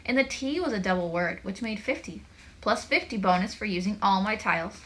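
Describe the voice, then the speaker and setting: A woman's voice: mid-range voice, a little fast, a bit bright, close to an everyday voice. A woman voicing a smug, competitive child who is easily fed up, in a children's storybook, with an emphatic delivery. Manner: emphatic, declarative.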